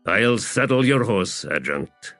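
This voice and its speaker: A man voicing a weary, hot-tempered soldier in a fantasy audiobook, gruff, terse and emphatic. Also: fairly low pitch, very animated, takes it slowly, a bit bright, dry recording, faint music behind, full character voice.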